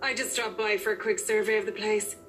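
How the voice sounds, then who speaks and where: A woman's voice: medium pitch, steady tone, fairly quick, a bit bright, slight background noise, lightly acted. An actress voicing a sophisticated, coolly professional female interior designer in a visual novel game. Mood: cool, brisk. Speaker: video game voice actor.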